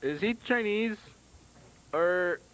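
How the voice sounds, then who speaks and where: A man's voice: high voice, lively intonation, slow, a bit bright, clear, resonant tone, heavily acted. A man voicing a dim, eager wisecracking puppet kid who heckles goofily on a comedy puppet show. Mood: eager, goofy. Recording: noisy amateur TV recording.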